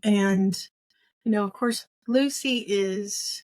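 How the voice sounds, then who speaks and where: Female co-host, conversational and opinionated, medium pitch, dry studio-like sound. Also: takes it slowly, darkish tone, dry recording. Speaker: book podcast co-host. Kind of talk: casual book discussion.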